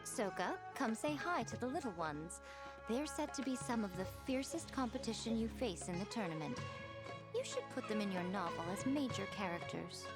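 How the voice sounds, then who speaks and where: A woman's voice: fairly high pitch, animated, normal speed. A woman playing a poised, teasing, self-assured chief editor in a video game, speaking in elegant phrases. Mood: smooth, confident. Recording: music behind the voice.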